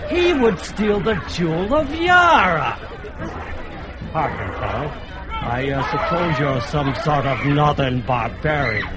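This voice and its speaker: A man's voice: fairly high pitch, very animated, slow pace, over a noisy crowd, very noisy. An actor playing a fat, boastful male kidnapper in a radio drama, bellowing and jeering with frequent laughter. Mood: mocking.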